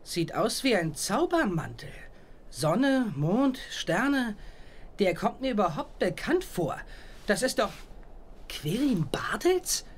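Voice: mid-range voice, big pitch swings, slow, husky, heavily acted. An actor playing a practical, puzzled young boy companion in a children's audio drama. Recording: faint ambience behind.

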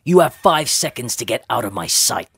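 A man acting a proud, scornful young man with a raspy voice and gruff, defiant manner in an audio drama. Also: mid-range voice, sing-song, moderate pace, fairly bright tone, no echo, studio quality, full character voice. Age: young adult.

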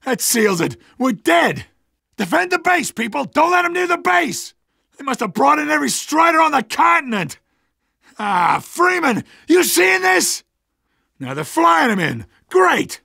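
An actor playing a gritty, rough-voiced resistance fighter in a video game, urgent and emphatic as he rallies troops. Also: very high voice, sing-song, unhurried, bright, forceful tone, no echo, studio quality, full character voice. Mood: urgent shouting. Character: gritty male resistance fighter rallying troops under attack. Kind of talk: video game battle barks.

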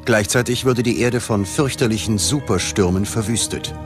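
Low-voiced dramatic male documentary narrator over music. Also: moderate pace. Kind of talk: scripted narration. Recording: loud music behind the voice.

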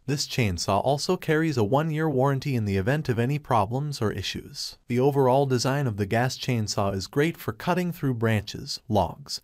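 A man's voice: mid-range voice, no echo. Man reading a dry product voice-over. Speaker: product review narrator. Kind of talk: scripted voice-over product review.

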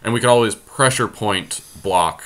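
A man's voice: on the low side, normal speed, a bit bright. A man giving casual commentary on an online card game, thinking aloud as he talks through each move. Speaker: podcast host playing an online card game.

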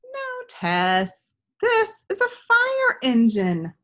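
A woman's voice: very high-pitched, huge pitch swings, very slow, a bit bright, pitched above the performer's own voice, heavily acted. A woman voicing a patient child in a picture book, answering in an emphatic, instructive way. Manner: emphatic, instructive storybook delivery.

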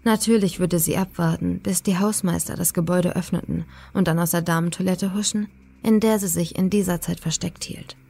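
A woman reading a horror story as a scripted, dramatic narration, measured and suspenseful. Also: fairly low pitch, steady tone, creaky, warm tone, slightly rough. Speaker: audiobook narrator. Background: faint atmospheric music.

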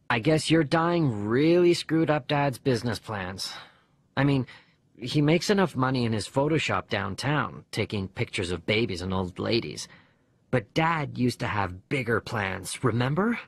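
A man voicing a bereaved young boy who narrates his diary letters in an expressive, conversational audiobook reading. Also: medium pitch, unhurried, gravelly, husky, dry recording, exaggerated voice. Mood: wistful.

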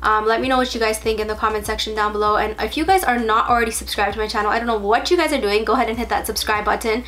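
A young woman with a stuffy cold talks casually through a makeup tutorial in her bedroom, chatty and full of fillers, softer when focused on applying makeup. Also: fairly high pitch, fairly even intonation, very fast, a little hiss or hum. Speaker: beauty vlogger. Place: bedroom at home.

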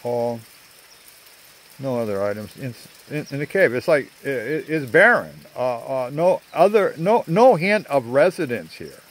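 An older man narrating a rambling video outdoors among trees, talking in a halting way with many fillers, recorded on a handheld phone. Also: mid-range voice, big pitch swings. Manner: slow, halting, full of uh fillers. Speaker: vlogger. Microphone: phone at arm's length.

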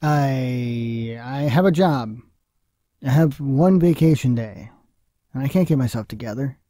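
A man's voice: mid-range voice, unhurried, dark tone, dry recording, studio quality, natural voice. A man playing a bitter, foul-mouthed, scolding father in an audio drama. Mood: frustrated, contemptuous. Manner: scolding, contemptuous.

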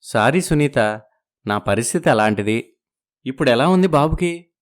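A man's voice: medium pitch, animated, normal speed, natural voice. A man playing an evasive young husband. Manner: soothing, evasive. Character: young husband torn between wives, evasive.

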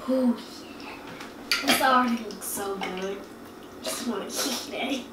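Higher voiced woman tossing in little mm-hmms, slow, echoey kitchen far from mic. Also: heavy background noise. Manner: short encouraging replies. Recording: roomy kitchen, distant mic, clattering dishes.

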